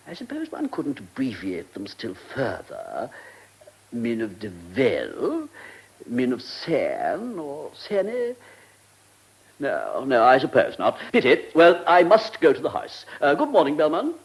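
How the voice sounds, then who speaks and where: A man's voice: mid-range voice, husky, heavily acted, low-quality recording. A man playing a pompous, pedantic British government minister in a radio comedy drama, fussy and self-important. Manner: pompous, pedantic, hesitant asides.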